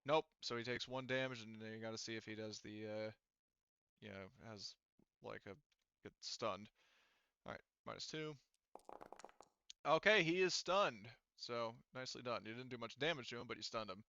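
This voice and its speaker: A man's voice: mid-range voice, normal speed, pauses often, bright, forceful tone, smooth, no echo. A man running a tabletop roleplaying session as game master, talking informally. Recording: slightly dull, dry close recording.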